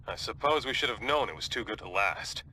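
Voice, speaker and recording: Actor as a steady team leader, noisy background. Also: male voice, lowish voice, a little fast, close to an everyday voice. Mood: earnest. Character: earnest, steady male superhero team leader. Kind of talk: video game mission briefing.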